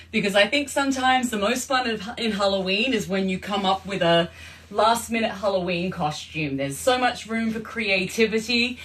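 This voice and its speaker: A woman's voice: medium pitch, a bit bright. A young Australian woman chatting casually on a live stream from her home, greeting viewers as she changes costumes. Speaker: livestream host. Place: home room.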